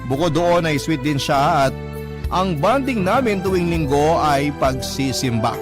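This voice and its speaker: A man's voice: a little high, expressive, unhurried. An actor with a Filipino accent playing a devoted boyfriend, politely narrating his love story in a scripted radio drama. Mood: fond, reflective. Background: clear background music.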